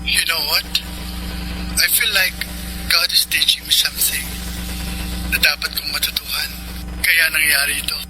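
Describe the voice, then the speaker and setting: An actor playing an apologetic, persistent young former love interest in a radio romance drama, with a Filipino accent. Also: male voice, a little high, fairly even intonation, normal speed, pauses often, natural voice. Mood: contrite, troubled. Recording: noisy line with engine-like hum.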